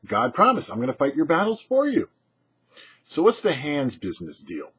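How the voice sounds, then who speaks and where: A man with a husky voice, giving a short scripted religious teaching as a rabbi in an emphatic, explanatory way. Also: fairly high pitch, wildly swooping pitch, normal speed, a bit bright. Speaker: rabbi and show host. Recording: dull, muffled lo-fi audio.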